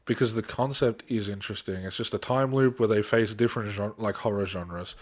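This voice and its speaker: A man's voice: lowish voice, fairly even intonation, fairly quick. A man co-hosting a podcast, talking in a casual, conversational way. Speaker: podcast co-host.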